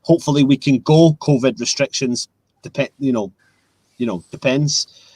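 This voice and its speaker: A man's voice: mid-range voice, moderate pace, a little mellow, slightly rough, dry recording. A young Scottish man hosting a casual live fan stream, chatting and reading out viewer comments over a video call. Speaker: host.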